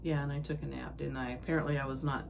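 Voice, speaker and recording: A woman hosting a casual needlework show-and-tell, sniffling with a cold and talking in a halting, rambling, homespun way. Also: extremely low pitch, little pitch movement. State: sick and sniffling. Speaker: needlework vlog host. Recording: clearly noisy home recording.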